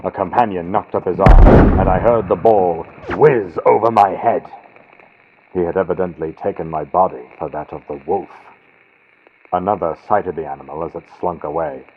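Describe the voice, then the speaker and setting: A man's voice: low voice, normal speed, lo-fi sound, slight background noise. A man narrating a gothic horror audiobook from a script, recorded on a built-in computer mic for a vintage radio sound. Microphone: built-in computer microphone.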